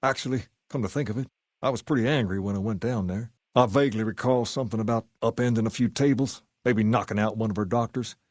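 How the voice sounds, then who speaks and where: A man's voice: fairly low pitch, fast, a little mellow, no room sound, clean studio sound, lightly acted. An actor playing a suave, cocky male gang leader in a video game, talking with cool, slangy swagger. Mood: candid, persuasive. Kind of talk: scripted game character dialogue.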